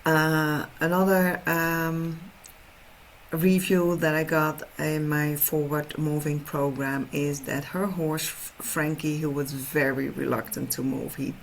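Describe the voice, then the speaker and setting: A woman's voice: sits low, level tone, takes it slowly, vocal fry, a little hiss or hum, rough recording. A middle-aged woman from the Netherlands with a Dutch accent, a horse trainer giving an earnest, explanatory presentation in an online info session. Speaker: horse trainer and program host.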